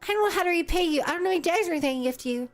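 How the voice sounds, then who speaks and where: A woman's voice: very high-pitched, lively intonation, rapid-fire, soft background music. A woman voicing a forlorn, empty-headed little robot helper from a video game, naive and earnest. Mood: earnest, eager.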